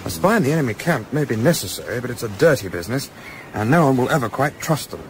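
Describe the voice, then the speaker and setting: An actor playing an observant, composed gentleman adventurer in a radio play, speaking in a measured, articulate, understated way. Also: mid-range voice, big pitch swings, a little hiss or hum, close to an everyday voice. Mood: wry, conspiratorial. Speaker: lead actor narrating and playing a part. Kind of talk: radio play narration and dialogue.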